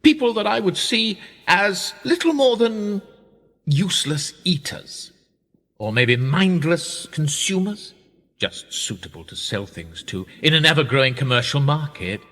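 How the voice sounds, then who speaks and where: Man playing rich British gentleman, bright husky voice, ironic and urbane, self-mocking, radio play, long reverb. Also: on the high side, animated, a little slow, full character voice. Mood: sardonic, self-mocking. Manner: urbane, wry, rhetorical, gentlemanly formal speech. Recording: reverberant room with long echo.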